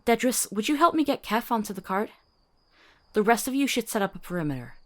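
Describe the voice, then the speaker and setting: A woman's voice: on the high side, very animated, a little fast, fairly bright tone. A woman playing a principled, capable healer in a fantasy drama, articulate, authoritative and dryly witty. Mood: brisk, commanding. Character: firm, principled female healer, capable and wry. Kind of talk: fantasy audio drama acting.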